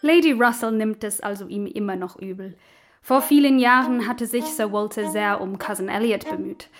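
A woman's voice: medium pitch, normal speed. Woman giving dryly witty scripted commentary. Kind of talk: scripted explanatory commentary with dry wit.

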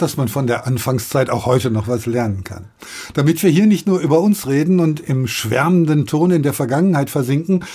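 A man's voice: medium pitch, dark tone, husky. A man hosting a radio programme, presenting from prepared text. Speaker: radio host.

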